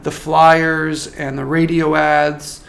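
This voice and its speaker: A man working as a marketing consultant, giving a measured, thoughtful interview answer with frequent restarts. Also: a little high, level tone, vocal fry, a bit bright. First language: not French.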